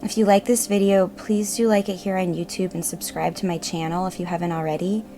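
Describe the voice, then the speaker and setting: A woman narrating a guided meditation in a gentle, measured, reassuring manner. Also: on the low side, little pitch movement. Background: meditative music.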